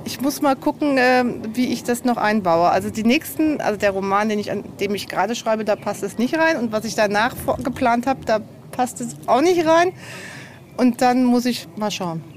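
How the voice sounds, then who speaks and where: A woman with a fairly high-pitched voice speaks as a guest in a casual interview. She thinks aloud, restarting and repeating words in an unscripted, conversational flow. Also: moderate pace, slight background noise. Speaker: novelist, guest. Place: on location at an old castle.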